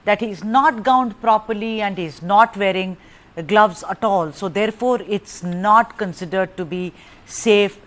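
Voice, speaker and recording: A woman's voice: mid-range voice, unhurried. Probing news anchor woman. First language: Tamil. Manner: probing, pressing questions. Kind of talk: live TV interview.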